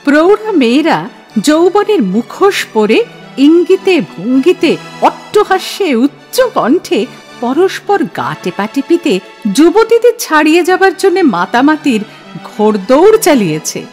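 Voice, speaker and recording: A woman's voice: high-pitched, big pitch swings, measured pace, darkish tone, soft background music. A woman giving dramatic literary narration for an audio play. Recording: long hall-like reverb.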